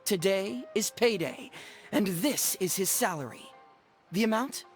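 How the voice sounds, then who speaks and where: An actress playing a composed storyteller, narrating a video game tale in a formal, theatrical way. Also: female voice, medium pitch, animated, a little slow, faint music behind, natural voice. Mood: composed, dramatic.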